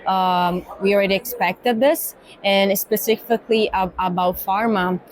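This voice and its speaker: A woman's voice: medium pitch, steady tone, a little slow, slight background noise, low-quality recording. Brazilian-accented woman with thoughtful, filler-filled answers. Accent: Brazilian Portuguese. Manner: thoughtful, many fillers and tag "right?". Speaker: guest, pharma cargo executive. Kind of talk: conversational interview answers. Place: exhibition hall.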